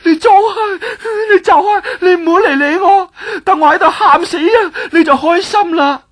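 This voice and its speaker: A man putting on the voice of a young girl wailing and sobbing in a tantrum, shrill and loud. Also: moderate pace.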